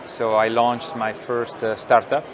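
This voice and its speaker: Middle-aged man, mid pitch, pauses a lot, lapel mic, crowd chatter of a trade show. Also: flat delivery. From Italy. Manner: thoughtful, many pauses and fillers. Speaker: company founder. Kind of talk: technical interview explanation. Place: trade show booth studio.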